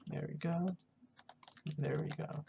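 A gamer giving casual, halting roleplay commentary, muttering to themself while playing, recorded on a noisy home mic with keyboard clicks. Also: mid-range voice, steady tone, vocal fry, dark tone. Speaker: gaming video host. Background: background noise, keyboard typing and clicking.

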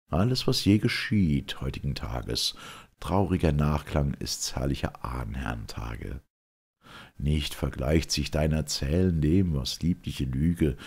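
A man's voice: extremely low pitch, darkish tone, breathy edge, pitched below the performer's own voice, full character voice. A man voicing a chorus of young, sceptical maidens in a declaimed verse drama.

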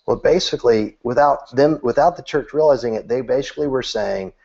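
A man's voice: medium pitch, a little fast, creaky. A man in his thirties giving church marketing advice on a video call, earnest, with frequent restarts. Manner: earnest, animated, gestures with both hands; repeats words when restarting. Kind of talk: interview answer, advice. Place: small room, seated on a couch. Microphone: video call webcam. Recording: dull lo-fi webcam sound.

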